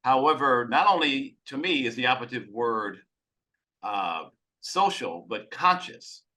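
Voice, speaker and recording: A man interviewing casually as a podcast co-host, deliberately stressing key words and rephrasing his questions. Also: medium pitch, lively intonation, sharp tone.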